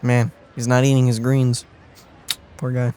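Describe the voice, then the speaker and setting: A man's voice: medium pitch, level tone, unhurried, a little hiss or hum. A man giving casual, wry, offhand commentary as a gaming streamer. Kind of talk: casual let's play commentary. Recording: loud game music behind the voice.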